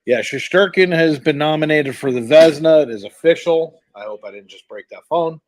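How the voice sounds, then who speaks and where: A man's voice: on the high side, a little fast, rough recording. A man hosting a live hockey stream, greeting viewers and chatting informally in a scattered, casual way. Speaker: live stream host calling hockey play by play.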